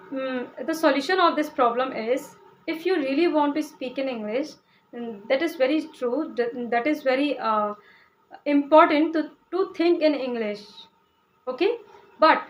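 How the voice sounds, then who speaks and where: Young indian woman w/ hindi accent, pretty high voice, lots of uh's and restarts, keeps asking okay?. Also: rough recording. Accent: Indian (Hindi speaker). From India (Uttar Pradesh). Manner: hesitant, many "uh" fillers and restarts, asks "okay?". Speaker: English-learning vlogger. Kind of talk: casual explanatory talk to camera.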